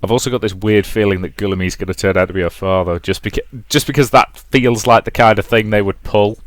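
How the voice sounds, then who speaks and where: A man's voice: lowish voice, steady tone, quick pace, dry recording. A man hosting a let's play, reading visual novel narration and adding casual commentary.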